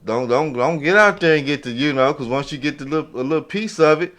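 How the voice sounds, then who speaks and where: A man's voice: on the high side, fast talker, a bit bright. A man chatting casually as a podcast panelist, giving opinions in slangy, conversational talk with frequent check-in tags.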